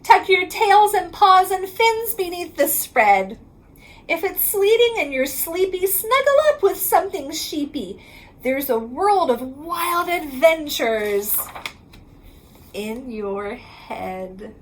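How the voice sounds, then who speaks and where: A woman with a very high voice reads a children's picture book aloud. She goes slowly and in a sing-song rhythm, stretching the ends of lines, and her pitch moves up and down in a lively way. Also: a little hiss or hum. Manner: sing-song rhyming delivery, drawn-out line endings. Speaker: reading specialist reading aloud to children.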